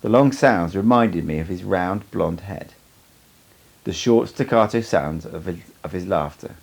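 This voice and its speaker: A man's voice: low-pitched, a little slow, gravelly, husky. A man reading a first-person story aloud as scripted audiobook narration. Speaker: amateur audiobook reader.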